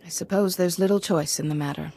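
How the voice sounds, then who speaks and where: An actress playing a calm, earnest female Jedi in a video game, composed in manner. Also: fairly low pitch, fast talker, vocal fry. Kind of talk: video game cutscene acting.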